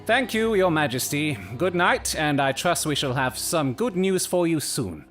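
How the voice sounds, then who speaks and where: Theatrical, higher-voiced gentleman detective. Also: expressive, measured pace, music in the background. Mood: courteous, probing. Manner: formal, clipped, imperious. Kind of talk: audio drama acting.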